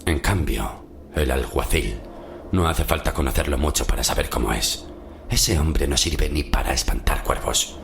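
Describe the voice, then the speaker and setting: An actor from playing a haunted, melancholy shipwrecked sailor who tells his tale in a literary horror audio drama, slow and solemn. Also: extremely low pitch, creaky, a bit bright, slight background noise, full character voice. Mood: dry. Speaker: narrator and lead character. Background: music under the voice.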